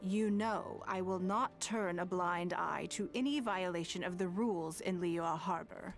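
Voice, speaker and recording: Commanding official, coolly shrewd, measured, formal, lower pitch, video game dialogue, music behind. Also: female voice, creaky. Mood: confident, challenging.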